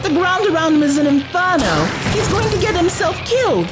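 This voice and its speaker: Woman playing an earnest, animated young adventuring companion. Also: very high voice, brisk, loud music behind the voice, rough recording. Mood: alarmed. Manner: earnest, coaxing. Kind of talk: audio drama acting.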